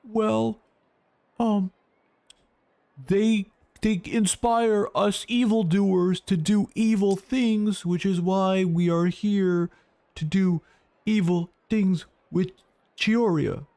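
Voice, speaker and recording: A man's voice: high-pitched, steady tone, takes it slowly, warm tone, voice pushed up. A man voicing an awkward, dim criminal in a comic skit, hesitant and hemming as he answers. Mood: awkward, unsure. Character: awkward, dim male prison criminal being interviewed.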